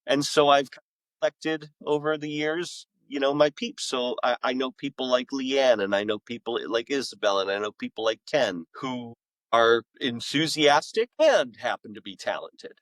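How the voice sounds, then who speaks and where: A man's voice: mid-range voice, normal speed, a bit bright, no room sound, rough recording. A middle-aged man, a podcast guest and author, telling casual anecdotes in an enthusiastic, rambling way.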